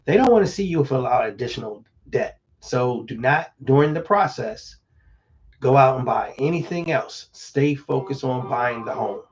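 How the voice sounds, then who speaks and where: A man, slightly hoarse, giving casual real estate advice in a relaxed, conversational way with many fillers. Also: medium pitch, moderate pace, highs cut off. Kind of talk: casual live-stream advice talk.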